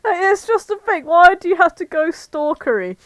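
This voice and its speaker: A woman's voice: very high-pitched, big pitch swings, moderate pace. A British woman co-hosting a podcast in casual comedic chat, with a playful, teasing manner. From Britain. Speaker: podcast co-host.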